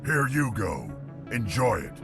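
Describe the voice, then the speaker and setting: Cocky game thug selling guns, gruff n gravelly, lively swing in the voice. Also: male voice, medium pitch, a little slow, music in the background. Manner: gruff, curt, swaggering. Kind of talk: video game voice acting.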